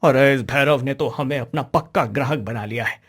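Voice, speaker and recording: A man's voice: mid-range voice, moderate pace, a bit bright, slightly rough. A man playing an exasperated, fretful royal minister and father in a cartoon story. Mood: exasperated, worried.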